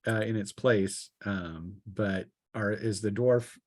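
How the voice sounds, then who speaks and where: Halting man running a tabletop game. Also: on the low side, darkish tone, no echo, clean studio sound. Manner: halting, hesitant delivery. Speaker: game master. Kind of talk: improvised tabletop game narration.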